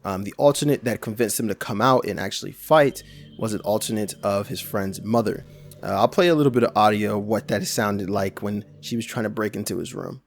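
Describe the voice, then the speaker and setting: A man's voice: lowish voice, fairly quick, creaky, soft background music. A young adult man giving casual, rambling video commentary full of restarts and slang. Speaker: YouTube commentator.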